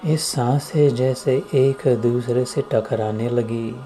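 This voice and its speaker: A man giving a devotional guided meditation discourse as a spiritual teacher, solemn and deliberate, speaking in short phrases with long pauses. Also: medium pitch, fairly even intonation, dark tone, faint music behind. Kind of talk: slow devotional meditation discourse with chanted verses.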